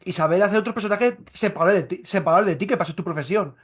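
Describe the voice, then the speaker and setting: A man interviewing an actor, asking rambling questions full of restarts and repeated words. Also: sits high. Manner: rapid, stumbling questions with many restarts and fillers. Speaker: interviewer, journalist. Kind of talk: interview conversation.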